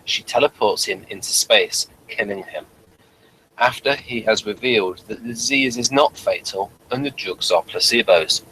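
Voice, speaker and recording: A man hosting an informal review show, speaking in a halting, digressive way and often correcting himself. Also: on the low side, bright, forceful tone, slight background noise, rough recording. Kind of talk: informal review chat, reading out a summary.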